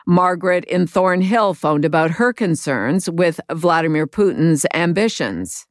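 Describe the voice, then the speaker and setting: A woman hosting a radio show, reading scripted links between segments in a crisp, measured way. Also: on the low side, animated, no echo, clean studio sound. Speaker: radio host.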